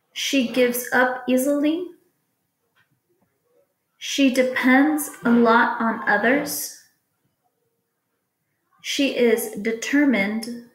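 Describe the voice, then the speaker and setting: A woman with a fairly high voice reads test questions aloud as a teacher would. Her delivery is very slow, deliberate and instructional. The room around her adds a clear echo. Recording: echoey room.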